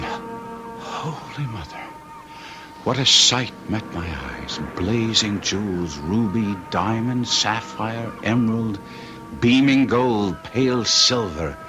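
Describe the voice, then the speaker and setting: An actor playing a proud, remorseful young nobleman, speaking with formal, melodramatic passion in an old-time radio drama. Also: low-pitched. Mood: awed, covetous.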